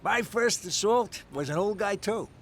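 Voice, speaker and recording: An actor playing an unhinged, grumbling older mobster uncle in an adult cartoon, blunt in manner. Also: male voice, high-pitched, expressive, measured pace, noisy background. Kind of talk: adult cartoon comedy voice acting.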